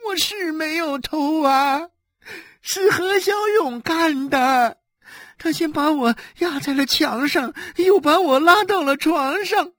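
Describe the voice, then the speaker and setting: A woman playing a wronged, frightened wife and mother, crying and lamenting in a faltering, drawn-out way in an audiobook drama. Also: high-pitched, fairly bright tone, no echo, studio quality. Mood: tearful confessing.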